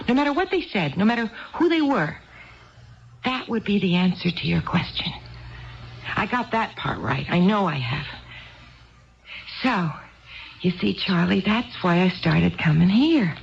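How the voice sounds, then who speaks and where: Nervous chatty wife, indecisive, hesitant, 1970s radio drama, muffled old broadcast sound. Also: female voice, medium pitch, lively intonation, measured pace, vocal fry, pauses often. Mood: earnest, uncertain. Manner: hesitant, rambling, self-doubting. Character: anxious, indecisive, chatty neglected married woman. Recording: dull, band-limited old radio broadcast.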